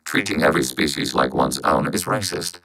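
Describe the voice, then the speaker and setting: A man voicing a calm, logical video game robot who speaks for a machine collective, with measured, clinical delivery and synthetic processing. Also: rumbling low, gravelly, smooth, exaggerated voice. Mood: detached, analytical. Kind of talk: scripted science fiction video game dialogue.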